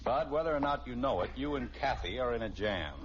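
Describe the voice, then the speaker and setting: Man playing a wry, animated radio dad. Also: mid-range voice, brisk, lightly acted. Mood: mock stern. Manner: dry, sardonic. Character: wry, wisecracking suburban father and husband. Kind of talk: old-time radio family sitcom acting. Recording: muffled, noisy old radio recording.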